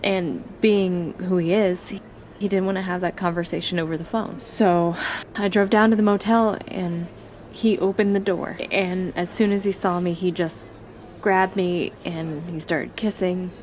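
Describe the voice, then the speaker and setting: A woman's voice: fairly low pitch, fairly even intonation, measured pace, darkish tone, a little hiss or hum, natural voice. An actress in her twenties playing a guarded, defensive young woman in a film drama, talking in a conversational, evasive way. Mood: confessional, weary. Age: 20s. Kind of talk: naturalistic film drama dialogue.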